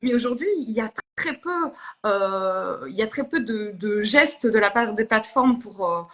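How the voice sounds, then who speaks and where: This is a young woman, speaking as a guest in a live interview. Her voice sits slightly high. She talks earnestly, with frequent fillers and restarts as she thinks aloud. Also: expressive, normal speed, a bit bright. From Belgium. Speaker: politician guest. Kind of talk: live interview on social media.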